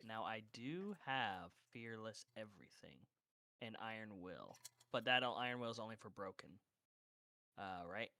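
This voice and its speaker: A man's voice: on the low side, animated, moderate pace, pushed and bright, no echo. A man chatting casually as a player in a tabletop roleplaying game.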